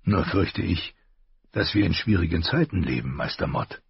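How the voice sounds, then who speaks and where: A man voicing a grave, patient noble lord who speaks low, slow and measured. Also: animated, gravelly, slightly rough, dry recording, full character voice. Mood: stern. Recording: dull lo-fi recording.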